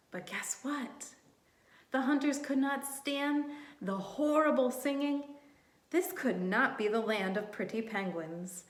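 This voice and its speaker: Woman storyteller for young kids: unhurried, expressive, question-asking, slightly high voice, roomy recording. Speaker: children's storybook reader. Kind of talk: read-aloud for young children. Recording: roomy, echoing sound.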